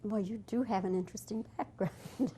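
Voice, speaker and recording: A woman's voice: medium pitch, normal speed, dark tone, husky. A woman TV interviewer asking short, friendly, probing questions.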